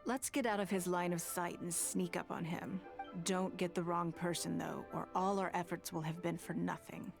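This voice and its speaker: A woman playing a coolly shrewd, commanding noblewoman official in a video game, speaking in a measured, formal, authoritative way. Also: on the low side, fairly even intonation, vocal fry, over music. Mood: cool, pressing.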